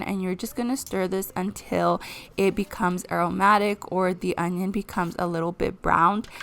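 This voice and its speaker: A woman talking viewers through a recipe in a casual cooking vlog voice-over. Her voice is hoarse from a cold and she explains each step in a friendly way. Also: on the low side, a bit bright. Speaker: vlogger.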